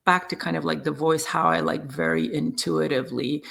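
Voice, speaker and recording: Gravelly, animated man. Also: a little high. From Serbia. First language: Serbian. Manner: halting, many fillers and restarts. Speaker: guest, sound healing practitioner. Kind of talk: personal storytelling in conversation.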